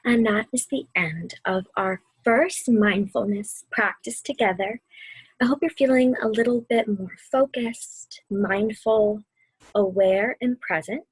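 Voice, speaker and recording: A woman with a soft, breathy voice gently guiding children through a mindfulness meditation, soothing and deliberate. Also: mid-range voice, dry recording, poor audio. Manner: soothing, gentle, deliberate, often dropping to a near-whisper. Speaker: mindfulness instructor and host of a weekly video series.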